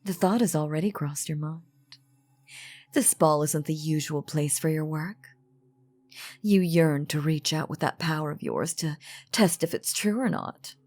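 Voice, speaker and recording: An elegant, ancient vampire lady, played by a woman, speaks low and slowly, every word cleanly shaded with a husky edge. She is teasing and coaxing, with theatrical, courtly phrasing. It is a roleplay audio drama with soft music underneath. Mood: sly, insinuating. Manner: theatrical, archaic, velvety formal phrasing.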